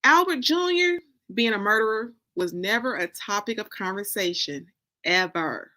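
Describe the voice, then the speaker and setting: Bright-voiced American woman reading dramatically. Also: medium pitch. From Detroit, United States. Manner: deliberate, expressive, dramatic phrasing with pauses. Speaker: author reading from a novel. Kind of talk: dramatic reading of first-person narrative.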